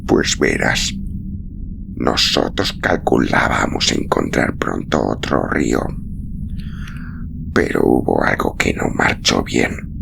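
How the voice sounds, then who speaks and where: A man playing an exhausted, gently protective traveler near death in a storybook reading, murmuring slowly in a low, breathy, gravelly voice. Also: expressive, full character voice. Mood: weary, explaining. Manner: slow, halting, tender. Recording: clearly noisy recording.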